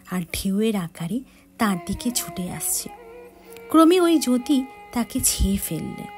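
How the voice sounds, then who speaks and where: Slow, gentle woman storyteller. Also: medium pitch. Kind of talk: scripted devotional story narration for children. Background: faint background music.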